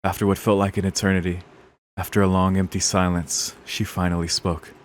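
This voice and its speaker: A man's voice: low voice, level tone, brisk, a little hiss or hum. A man streaming a visual novel, reading the narration aloud with dramatic, casual, sweary reactions. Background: game music.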